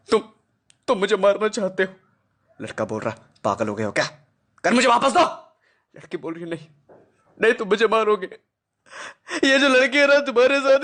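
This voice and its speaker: A man playing an excitable witness retelling a quarrel, husky and acting out each person he quotes. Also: high-pitched. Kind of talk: acted colloquial storytelling.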